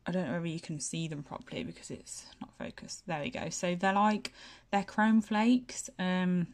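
A woman's voice: lowish voice, fairly even intonation, moderate pace. A young woman, a nail technician and channel host, chatting casually through a tour of her home nail room, a bit sniffly from hay fever, with lots of fillers and restarts. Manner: chatty, self-deprecating, many fillers and restarts.

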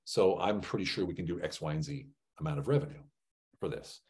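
Low-voiced man telling business stories. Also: a little fast. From Germany. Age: middle-aged. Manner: animated storytelling, acting out dialogue, punchy rhetorical questions. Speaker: business trainer. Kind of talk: motivational training talk with anecdotes. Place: indoor room, on a group video call. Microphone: video call.

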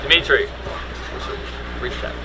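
A man giving relaxed, knowing commentary on a youth bowling match, explaining shots as they happen. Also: a little slow. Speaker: color commentator. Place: bowling alley. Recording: noisy bowling alley ambience.

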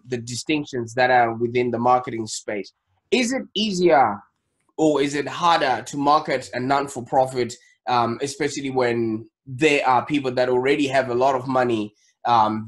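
A man in his thirties presenting an online interview show in an enthusiastic, wordy and emphatic way. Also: medium pitch. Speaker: show host. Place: small home office, indoors. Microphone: desk microphone.

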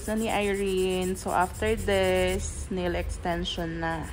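A woman's voice: lowish voice, little pitch movement, slow, over music, poor audio. A woman with a Filipino accent, chatting casually in a vlog at a nail salon and giggling often. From Philippines. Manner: giggly, chatty, mixing English and Tagalog. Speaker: vlogger.

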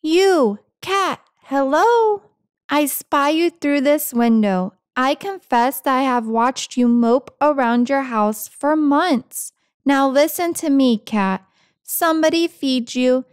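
A woman's voice: high voice, slow pace, no echo, studio quality. A woman voicing an indignant, scolding wild squirrel with an emphatic, preachy manner in a picture-book read-aloud. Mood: scolding, exasperated.

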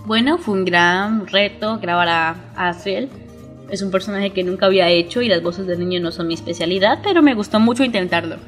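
A woman with a Latin American Spanish accent speaks casually, as an amateur voice actress sending thanks. Her voice has a middling pitch for a woman. Faint music plays behind. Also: fairly quick. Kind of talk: casual thank-you message.

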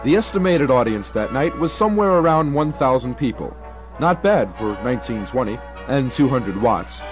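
A man's voice: medium pitch, animated, normal speed, soft background music. A man from New York with an American accent, reading scripted radio documentary narration in a 1970 style. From New York, United States.

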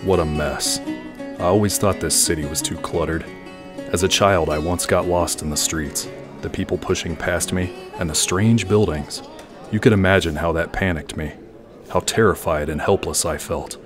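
A man plays a low-voiced schemer in an audio drama, brooding and grandiose. He speaks in measured, theatrical phrases over music. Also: close to an everyday voice. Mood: brooding, bitter. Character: brooding, grandiose male villain plotting world domination. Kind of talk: fan audio drama acting.

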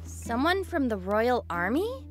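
A woman's voice: on the high side, very animated, a little slow, music in the background. An actress playing a shrewd, direct young woman on the run in a video game, probing and self-assured. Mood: suspicious, probing.

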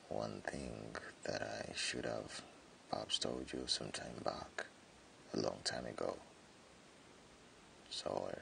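Man acting a very deep, gravelly jealous friend. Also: sharp tone, slight background noise, heavily acted. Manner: hesitant, halting, with stammered repeats. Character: jealous tsundere young male friend confessing hidden love. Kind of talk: intimate boyfriend ASMR roleplay.

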